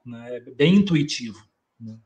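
A middle-aged man on a video call, giving a relaxed explanatory talk and thinking aloud, often ending sentences with a tag question. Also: mid-range voice, a little slow, muffled recording. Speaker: guest lecturer. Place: home room, indoors.

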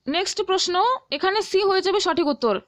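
A woman's voice: very high-pitched, quick pace, no echo. A woman teaching as a tutor, reading out exam questions and answers and often checking that listeners follow.

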